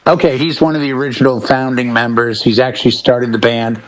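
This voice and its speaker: A man's voice: mid-range voice, flat delivery, a little fast, rough recording. A middle-aged man chatting casually and emphatically about music, telling stories and giving firm opinions as a panelist. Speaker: talk show panelist.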